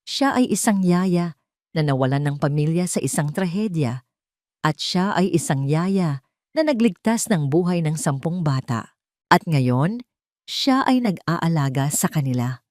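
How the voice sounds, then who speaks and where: A woman's voice: low-pitched, expressive, a little slow, darkish tone, dry recording, studio quality. Woman voicing an earnest, defiant male doctor, emphatic. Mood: pleading, earnest.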